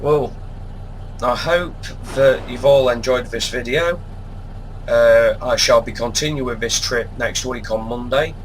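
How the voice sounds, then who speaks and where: A man's voice: mid-range voice, low-quality recording. A man with a congested voice and a sore throat, giving easygoing, laid-back commentary while he plays a game. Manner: unhurried, easygoing, appreciative. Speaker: gaming channel host. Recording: steady truck and air-conditioning hum behind. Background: game engine and cab noise.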